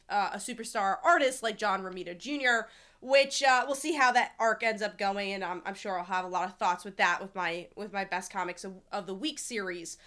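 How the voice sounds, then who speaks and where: A woman hosting a comic review channel, chatting casually with fillers and restarts, sounding nasal as she gets over a cold. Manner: chatty, many restarts and fillers, lively rises in pitch. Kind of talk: casual review talk to camera.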